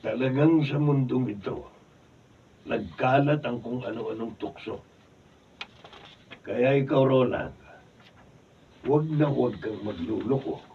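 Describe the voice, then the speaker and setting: A principled, humble working father in a radio drama. His medium-pitched voice is picked up from far off, thin and phone-like. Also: slow, pauses often. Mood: grave, admonishing. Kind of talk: Filipino radio drama acting. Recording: distant, phone-like, noisy studio audio.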